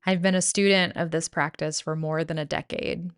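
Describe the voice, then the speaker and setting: A woman guiding a yoga nidra meditation in a soothing, gentle, measured way with pauses. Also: sits low, fairly even intonation, smooth. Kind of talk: guided meditation narration.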